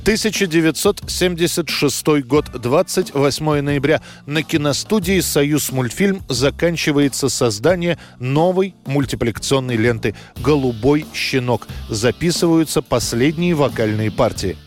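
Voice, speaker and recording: A man narrating a scripted history programme in a measured, storytelling way. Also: a little high, lively intonation, soft background music.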